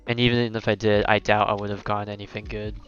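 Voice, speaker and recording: Low-voiced young man streaming games. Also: level tone, quick pace, a bit bright, smooth. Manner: rambling, conversational, thinking aloud. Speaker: game livestreamer. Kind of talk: casual live gameplay commentary and chat. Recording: slightly dull mic over game music. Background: game music.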